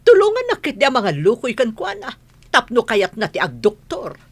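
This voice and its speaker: A woman's voice: a little high, wildly swooping pitch, normal speed. A woman with an Ilocano accent acting a proud, scolding middle-aged mother in a radio drama, haughty and emphatic. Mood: indignant.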